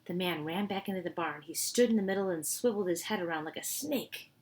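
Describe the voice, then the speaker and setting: A woman's voice: fairly low pitch, quick pace, a little mellow. A woman reading a children's storybook aloud expressively, with informal asides to her listeners.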